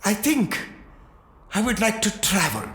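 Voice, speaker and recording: Male actor as humble hesitant sidekick, high voice, slow and earnest, audio drama, echoing hall. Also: huge pitch swings, bright, forceful tone, full character voice. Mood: earnest, wistful. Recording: echoey hall reverb.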